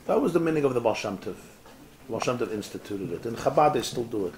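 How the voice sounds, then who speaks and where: Animated rabbi teaching a Talmud class. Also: male voice, mid-range voice, fast, a little hiss or hum. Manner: animated, rhetorical, drops Hebrew and Yiddish terms. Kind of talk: lively Talmud class lecture with back-and-forth. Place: classroom with students.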